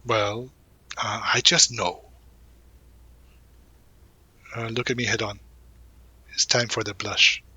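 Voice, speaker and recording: A man acting as a young college guy, protective and gently teasing. His voice is bright and crisp-edged, recorded close and dry. He speaks casually, in a reassuring, intimate roleplay style, with thoughtful pauses and little murmured asides. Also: lowish voice, level tone, no room sound, exaggerated voice. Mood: reassuring, encouraging. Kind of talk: intimate audio roleplay, one-sided conversation.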